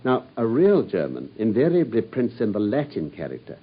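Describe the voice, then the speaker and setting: A man's voice: fairly low pitch, wildly swooping pitch, normal speed, breathy edge, heavily acted. An actor playing a brilliant, self-assured Victorian detective who explains his deductions in a confident, lecturing manner, in a radio drama. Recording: dull lo-fi radio sound.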